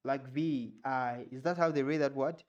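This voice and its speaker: A young Ugandan man with a Ugandan English accent, his first language Luganda, reading aloud haltingly and sounding out unfamiliar words, with casual asides. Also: medium pitch, fairly even intonation, highs cut off. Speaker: vlogger.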